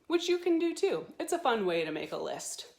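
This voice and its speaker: A woman hosting a storytime for young children, talking to them in an animated way with simple questions and encouragement. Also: a little high, brisk, vocal fry. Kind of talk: children's storytime presenting to camera. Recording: roomy, echoey sound.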